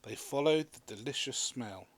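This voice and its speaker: Male storybook narrator: middle register, highly animated scripted read, dry studio-like sound. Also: normal speed, no room sound. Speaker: storybook reader.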